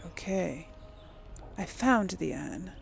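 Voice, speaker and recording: A woman's voice: lowish voice, lively intonation, slow pace, vocal fry, slight background noise. A woman doing casual role-play commentary over a video game, musing in short asides. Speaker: gaming video host.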